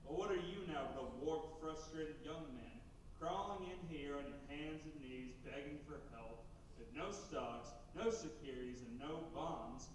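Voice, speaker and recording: A man's voice: on the high side, measured pace, slight background noise, natural voice. A man playing a cruel, gloating old banker in a live radio play, cold and menacing, heard from far off on an echoey stage. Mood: sneering. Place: theater stage.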